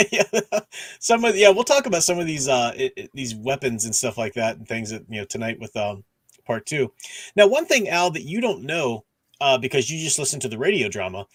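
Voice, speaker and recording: A man's voice: medium pitch, big pitch swings, normal speed, a bit bright, husky, no echo. A man hosting a casual discussion stream, rambling, restarting sentences and groping for names as he talks. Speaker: podcast host.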